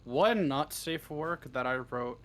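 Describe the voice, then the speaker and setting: Halting, casual man with mid-pitched voice. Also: animated, a bit bright, rough recording. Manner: halting, self-deprecating, casually sweary. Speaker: interview guest, script writer. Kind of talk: casual chat.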